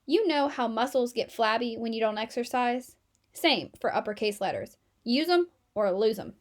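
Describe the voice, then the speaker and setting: A woman plays a storybook emergency medic. The delivery is brisk and admonishing, in a high voice that takes no nonsense. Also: lively intonation, lightly acted.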